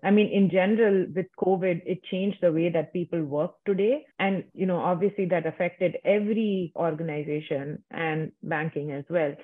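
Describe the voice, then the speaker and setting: A man's voice: high voice, steady tone, moderate pace, hardly any pauses, no echo. A man hosting an interview, asking brief, direct questions. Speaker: podcast host. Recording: dry phone-like audio.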